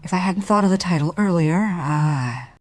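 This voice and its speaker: Radio crime drama, wronged wife: insistent, bitter accuser, husky voice, very lively intonation. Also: female voice, lowish voice, moderate pace, low-quality recording. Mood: accusing, anguished. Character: wronged, accusing wife confronting a treacherous family friend. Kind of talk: crime radio play acting.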